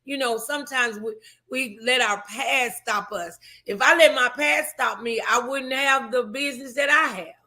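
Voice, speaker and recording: A woman's voice: sits high, moderate pace, bright, forceful tone. A woman hosting a casual morning show, speaking in an emphatic, motivational and folksy, familiar way. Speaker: talk show host.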